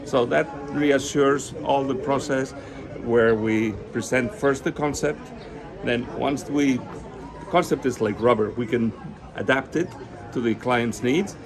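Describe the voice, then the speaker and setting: Spanish-accented man, mid pitch, crowd behind. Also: unhurried. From northern Spain. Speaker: interview guest, garden designer. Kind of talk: spontaneous interview explanation. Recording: crowd and urban sounds behind.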